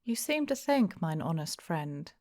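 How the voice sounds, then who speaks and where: A woman voicing a courteous gentleman stranger in an audiobook, quiet and polished, with gently mocking politeness. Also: deep voice, sing-song, unhurried. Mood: quietly mocking.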